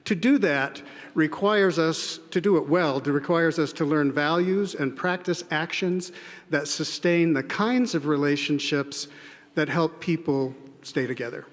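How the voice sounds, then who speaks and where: A man, an English professor, giving a measured, earnest prepared speech to a large audience. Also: a little high. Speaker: English professor giving a spoken-word address. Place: large indoor arena. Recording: reverberant arena sound.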